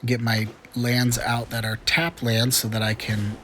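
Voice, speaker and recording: A man's voice: mid-range voice, flat delivery, unhurried, noisy background. A chatty, upbeat man hosting casual gameplay commentary with frequent fillers, a little congested from a cold. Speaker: gaming channel host.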